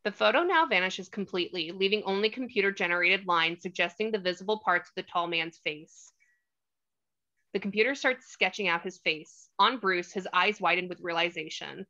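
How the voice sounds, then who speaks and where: A woman's voice: on the low side, moderate pace, bright, forceful tone. A woman reading stage directions as the narrator of a screenplay table read. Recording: slightly dull lo-fi audio.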